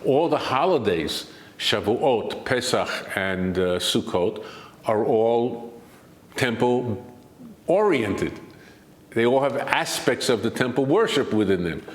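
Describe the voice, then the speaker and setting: An older man as a guest expert in an interview, answering in a measured, argumentative way that builds to emphatic points. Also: medium pitch, animated, reverberant.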